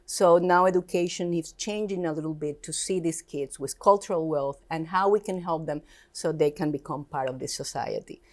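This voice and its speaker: A woman's voice: deep voice, moderate pace, a little mellow. A woman from Puerto Rico with a Puerto Rican Spanish accent, a Spanish native speaker, talking earnestly as an interview guest. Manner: earnest, emphatic, slightly non-native grammar. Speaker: education institute director, guest. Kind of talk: casual interview conversation.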